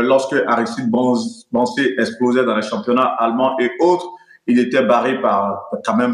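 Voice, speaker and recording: Echoey male interviewer, mid-pitched. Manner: long, wordy lead-ins to questions. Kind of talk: conversational sports interview. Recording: echoey, reverberant room sound.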